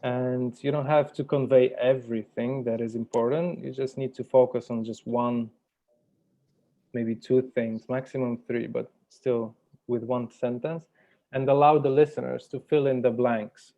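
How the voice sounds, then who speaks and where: Male facilitator, measured and deliberate, creaky voice, slightly dull online-call sound. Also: medium pitch, fairly even intonation, dark tone. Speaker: workshop facilitator. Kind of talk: guided meditation and workshop facilitation. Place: virtual workshop over video call.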